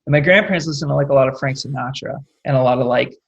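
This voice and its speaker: A young man with a Californian accent talks in a casual interview. His voice sits at a middle pitch, and he rambles along with plenty of "like" and "you know". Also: racing, creaky, highs cut off. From California, United States. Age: late 20s. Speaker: interview guest, rock singer.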